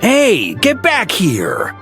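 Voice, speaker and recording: An actor playing a weary middle-aged knight commander in a video game, who sighs in exasperation, teases dryly and gives gruff orders. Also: male voice, sits high, wildly swooping pitch, very slow, heavily acted. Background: soft game music.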